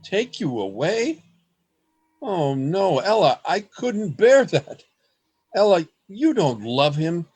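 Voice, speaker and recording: An actor in a radio play playing an earnest, desperate man in love, with a husky voice and a dramatic, pleading delivery. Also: high voice, big pitch swings, slow, a little mellow, exaggerated voice. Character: anguished lovesick man, earnest, desperate, hiding among others.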